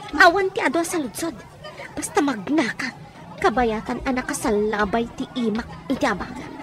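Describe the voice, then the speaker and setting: Menacing, high-pitched Ilocano woman villain, played by an actress. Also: moderate pace, over music, a crowd in the background, natural voice. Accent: Filipino, Ilocano. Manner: commanding, interrogating. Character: menacing woman kidnapper threatening her captive with a knife. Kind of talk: radio drama acting.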